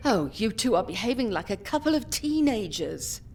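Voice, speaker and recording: An actress playing a brisk, scolding old care-home ringleader in an audio drama. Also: female voice, a little high, big pitch swings, darkish tone, a little hiss or hum. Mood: exasperated.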